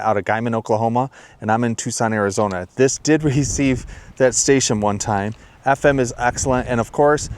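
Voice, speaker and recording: A man's voice: medium pitch, clear, resonant tone. A man hosting a video, giving a casual, plainspoken product review and explaining things as he goes. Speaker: video host.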